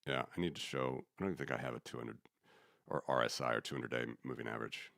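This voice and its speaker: A man's voice: very deep voice, fast, gravelly, fairly bright tone, no echo, studio quality. A man who grew up in the United States, hosting a casual economics podcast and thinking aloud in a rambling, opinionated way with many restarts. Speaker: podcast host.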